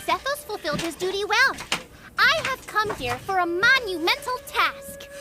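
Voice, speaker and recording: A very high, animated fairy guardian acted by a woman declaims like a haughty little warrior. The fan-dubbed game lines are proud and fierce, with music clearly playing behind. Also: moderate pace. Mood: proud, formal. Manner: haughty, grandiose, old-fashioned declaiming. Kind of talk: fan dub of a fantasy game.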